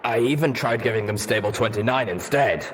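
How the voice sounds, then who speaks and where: A man's voice: mid-range voice, fairly quick, pitched above the performer's own voice, natural voice. A man voicing a grim armored ghoul pony soldier, bitter and guarded, with a stern, weighty delivery. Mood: regretful. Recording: long reverb, faint noise.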